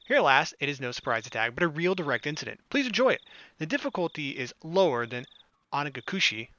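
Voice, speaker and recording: Bright, animated man reading a game aloud. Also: fairly high pitch, fast, dry recording, highs cut off. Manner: theatrical reading with joking asides. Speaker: let's play host. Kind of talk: visual novel read-aloud with casual commentary.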